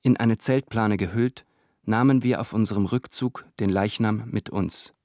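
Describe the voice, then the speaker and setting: A reserved male career officer in a radio play, quietly grieving. His low voice is measured and formal, recorded very dry and close. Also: no room sound. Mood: restrained, formal. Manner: measured, restrained, formal diction.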